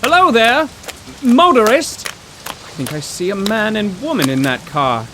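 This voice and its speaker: An actor playing an excitable, bookish professor in a 1930s radio drama, theatrical and wordy with old-fashioned exclamations. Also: male voice, high-pitched, unhurried. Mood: alarmed, indignant. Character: excitable, bookish 1930s male poetry professor, stranded motorist. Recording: rain and road noise.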